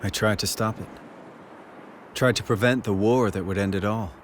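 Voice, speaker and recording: A man's voice: fairly low pitch, steady tone, normal speed, slight background noise, lightly acted. An actor playing a wise, consoling, world-weary man in a fantasy game, plain-spoken and reflective. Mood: sombre consolation.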